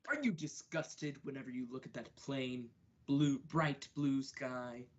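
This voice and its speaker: A young woman playing a deadpan, halting announcer in a parody radio commercial. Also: very deep voice. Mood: deadpan salesmanship. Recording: dull lo-fi recording.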